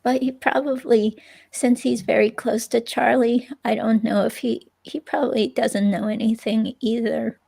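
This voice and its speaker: Uneasy young ex-girlfriend at a police interview, played by a woman: halting, flat in pitch, fry-edged. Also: full character voice. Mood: reflective, unsure. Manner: halting, hesitant, filler-laden delivery. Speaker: reader playing an interviewee. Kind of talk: dramatic reading of police interview transcript.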